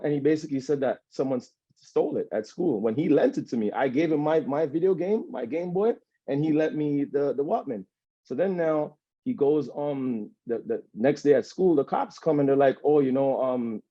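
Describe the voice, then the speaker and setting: A man's voice: medium pitch, warm tone, dry recording. A man in his thirties with a Jamaican accent, telling his own story as an interview guest in a loose, rambling way, often checking in with "you know what I'm saying". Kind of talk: personal account in a sit-down interview. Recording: dull lo-fi sound.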